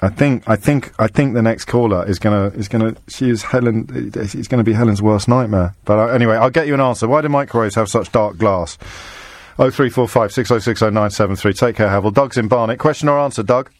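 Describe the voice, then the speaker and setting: An English man hosting a live radio phone-in, chatty and bantering, quick to jump in. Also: lowish voice, dry recording.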